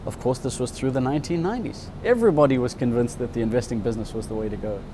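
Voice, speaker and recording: A candid man in his fifties recounts his past in a thoughtful, earnest way. His voice sits at an ordinary middle pitch. A steady rumble of outdoor traffic hums clearly behind him. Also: racing. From Zimbabwe. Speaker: interviewee, subscriber. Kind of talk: personal storytelling in an interview.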